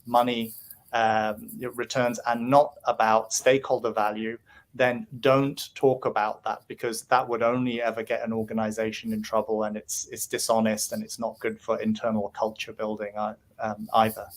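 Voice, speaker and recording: A man answers questions as a guest speaker in an online talk. He speaks earnestly with a steady, flat pitch and pauses on hesitant fillers.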